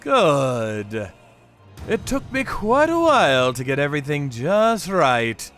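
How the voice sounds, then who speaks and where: A man voicing a grim, vengeful torturer who narrates his work in a manga dub with deliberate menace. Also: a little high, big pitch swings, fairly bright tone, faint music behind, heavily acted. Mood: cold menace. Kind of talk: dramatic first-person manga dub narration.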